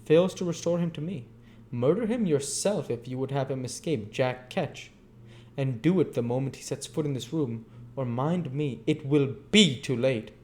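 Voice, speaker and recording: A man voicing a scheming old male gang master, oily and coaxing but quick to fury. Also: on the high side, animated, darkish tone, a little hiss or hum, pitched above the performer's own voice. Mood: furious.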